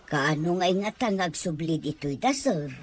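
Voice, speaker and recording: An actress plays a worried, weary household helper in an Ilocano radio drama. She has a lowish voice and an Ilocano accent, over faint ambient noise. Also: lively intonation, normal speed, lightly acted. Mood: resigned.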